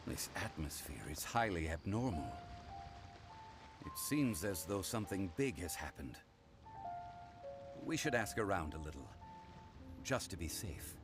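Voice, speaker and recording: A man playing a composed, wise and formal gentleman consultant in an anime game, speaking in a measured way. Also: sits low, very animated, heavily acted. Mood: grave, composed. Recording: background game music.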